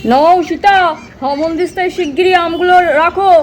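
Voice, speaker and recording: Woman doing a very high-pitched boastful strongman doll. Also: a little fast, soft background music. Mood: eager, helpful. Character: boastful, helpful strong village man doll. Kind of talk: children's doll-play cartoon dialogue.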